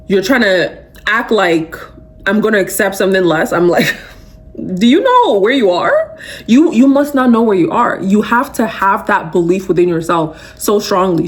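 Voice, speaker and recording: A young adult woman hosting a podcast, giving a casual, emphatic motivational talk full of fillers. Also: mid-range voice. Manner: animated, emphatic, conversational with many fillers. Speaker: podcast host.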